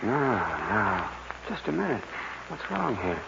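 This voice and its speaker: An actor playing a lovestruck but resolute young outlaw in a 1940s western radio drama. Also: male voice, lowish voice, sing-song, slow, gravelly, full character voice. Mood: tender, resigned. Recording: muffled noisy 1940s radio recording.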